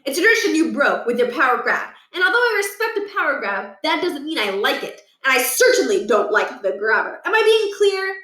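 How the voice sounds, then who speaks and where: A woman's voice: sits high, very animated, fairly quick, hardly any pauses, bright, forceful tone, voice pushed up, heavily acted. A woman voicing a pompous, power-hungry older male school principal in a children's story, lecturing with condescension and menace. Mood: stern, condescending. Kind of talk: children's book read aloud. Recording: echoey room, distant from mic.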